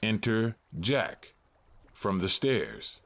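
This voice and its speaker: Slow, lively low-voiced male narrator. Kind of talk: scripted narration of stage directions.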